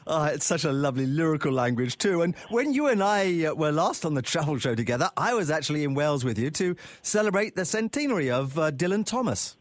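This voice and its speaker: A man hosts a radio travel show on a close studio mic, his voice dry and slightly high. His pitch rises and falls a lot as he speaks, warm and expressive. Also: quick pace, hardly any pauses, dry recording. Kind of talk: radio show hosting and interview. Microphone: studio microphone.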